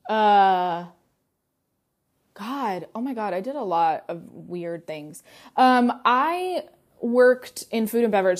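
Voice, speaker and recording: Chatty young woman podcast host. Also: mid-range voice. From United States. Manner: chatty, many fillers and "like". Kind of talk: casual personal monologue.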